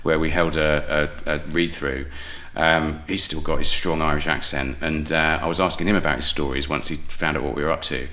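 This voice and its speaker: A man's voice: very deep voice, flat delivery, a little fast, hardly any pauses, bright, forceful tone. A middle-aged man, explaining things chattily as a guest in a casual interview. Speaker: guest, playwright and project founder.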